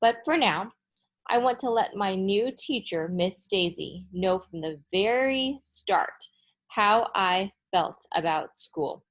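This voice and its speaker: A woman's voice: medium pitch, animated, slow. A woman reading a children's storybook as an opinionated eight-year-old boy who hates school, with emphatic delivery. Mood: defiant. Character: eight-year-old boy narrator, blunt school-hating second grader. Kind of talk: children's storybook read-aloud.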